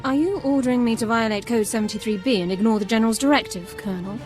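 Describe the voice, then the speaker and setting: A woman's voice: on the high side, quick pace, close to an everyday voice. Actress doing strict army sergeant lady, very by the book, game dialogue with music behind. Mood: coolly defiant. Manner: formal, regimented, clipped military phrasing. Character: crisp, by-the-book female military sergeant, formal and dutiful. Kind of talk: video game character acting.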